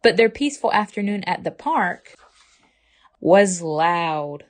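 A woman reading a children's picture book aloud as a library storyteller, with expressive, deliberate phrasing. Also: lowish voice.

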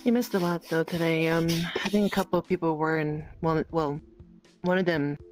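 A woman improvising in-character roleplay chat in a halting way, often repeating herself. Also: deep voice, steady tone, faint music behind. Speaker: roleplayer in a virtual-world game.

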